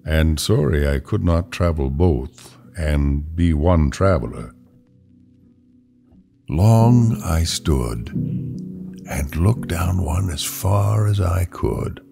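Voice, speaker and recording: A man reciting poetry solemnly, in a measured, reflective delivery with deliberate pauses. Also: a bass voice, expressive, vocal fry. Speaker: poetry reader. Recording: soft guitar music behind voice. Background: plucked guitar music.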